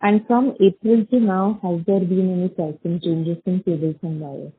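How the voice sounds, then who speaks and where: Female analyst, Indian accent, husky edge, questioning over a dry, thin telephone connection. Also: lowish voice, fairly even intonation, moderate pace, no echo. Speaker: equity analyst asking questions. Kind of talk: earnings call questioning. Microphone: conference call phone line. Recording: narrowband phone line.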